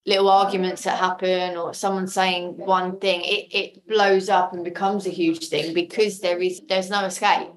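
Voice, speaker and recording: A woman with a slightly low-set voice talks in a casual interview. She is enthusiastic and effusive, speaking informally as a creative guest who loves the work. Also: little pitch movement, normal speed, hardly any pauses, rough recording. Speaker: podcast guest, audio drama maker.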